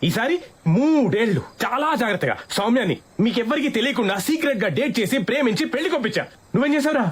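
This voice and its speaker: An actor playing an aggrieved, whiny young groom, indignant and melodramatic, in comedy film dialogue. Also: male voice, very high voice, very animated, rapid-fire, slightly rough, exaggerated voice. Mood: indignant, cursing. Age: young adult.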